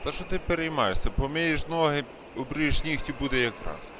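A man voicing a gruff, grumbling market regular in a cartoon, with a western Ukrainian accent and colloquial delivery. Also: fairly high pitch, animated, normal speed, lightly acted. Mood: haggling. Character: grumbling, world-weary bazaar regular. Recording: noisy outdoor street recording.

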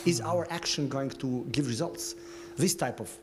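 A man's voice: medium pitch, moderate pace. A man in the audience asking a provocative, playful question at a talk, acting out hypothetical scenarios. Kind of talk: conference Q&A. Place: gallery lecture room.

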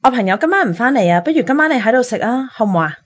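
Casting: neglected, emotional girlfriend played by a woman, high and very animated, radio drama. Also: racing. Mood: eager, pleading. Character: devoted, neglected young girlfriend, pleading and hurt. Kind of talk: audio drama acting.

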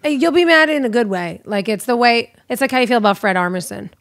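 A woman in her thirties, a podcast guest, chatting in a lively, teasing way, seated in a living room at a boom-arm studio mic. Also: fast talker, dry recording. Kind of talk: casual chat. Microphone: studio mic on boom arm, headphones.

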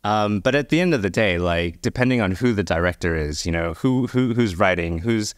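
Lowish-voiced man in casual, dry-sounding chat. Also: expressive, fairly quick, no echo. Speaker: podcast co-host.

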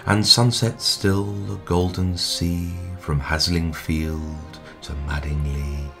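A man giving a dramatic poetry recitation in a measured, expressive way, with hushed line endings. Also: deep voice. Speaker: poetry reader. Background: music.

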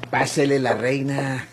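A leering Mexican country fellow from an old radio serial. He drawls slowly and chuckles through his words in a rural accent, over faint recording noise. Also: mid-range voice. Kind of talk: golden-age Mexican radio drama acting.

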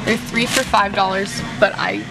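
A young woman chatting casually and upbeat as she shows her groceries, with lots of "like" and quick asides. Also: medium pitch, fairly even intonation, creaky, loud music behind the voice. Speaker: fitness vlogger. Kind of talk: casual grocery haul vlog. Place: small bedroom, indoors. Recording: echoey room.

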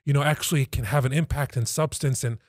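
A man in his thirties, a podcast guest explaining things conversationally in measured, emphatic phrases. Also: medium pitch, no room sound, clean studio sound. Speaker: podcast guest, pastoral associate. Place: small indoor podcast studio. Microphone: close studio mic on stand.

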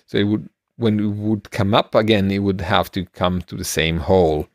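Middle-aged male podcast co-host, gravelly and fairly deep, rambling conversational explainer. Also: moderate pace, no echo, studio quality. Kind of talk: casual explanatory chat. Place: small room at home, on a video call. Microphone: close microphone, headphones.

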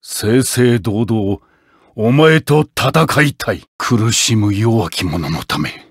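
An actor voicing a stern, honorable armored knight in a fighting game, with a gravelly, husky voice and a solemn, commanding manner. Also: male voice, fairly low pitch, animated, takes it slowly, no room sound, clean studio sound, heavily acted. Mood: grave, noble. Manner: solemn, commanding, forceful battle shouts. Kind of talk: Japanese fighting game character voice acting.

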